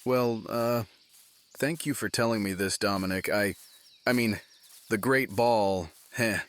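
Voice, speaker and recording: A man playing a gruff, wry, fatherly commander in a fantasy audio drama, speaking haltingly. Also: on the low side, lightly acted. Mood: curious.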